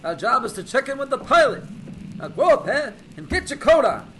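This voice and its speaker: A man's voice: extremely high pitch, very animated, brisk, bright, forceful tone, slight background noise, exaggerated voice. An actor playing a bossy, slangy airfield controlman in an old time radio drama. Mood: bossy, startled. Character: brash, dutiful airfield controlman, bossy with his partner. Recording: music bed behind the dialogue.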